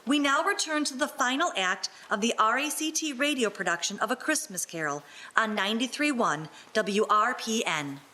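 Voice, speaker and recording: A woman's voice: a little high, expressive, normal speed, bright, forceful tone. A woman reading scripted radio announcements and narration.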